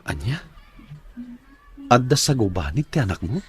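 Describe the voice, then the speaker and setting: A man's voice: medium pitch, sing-song, unhurried. A man playing an earnest, caring young office worker and suitor in an Ilocano radio drama, speaking expressively. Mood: concerned, tender.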